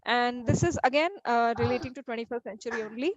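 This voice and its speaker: A woman's voice: fairly high pitch, fast, over music. A middle-aged woman teacher with an Indian English accent, native Hindi speaker, lecturing a class and often restarting and repeating her phrases. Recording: dull lo-fi dry recording.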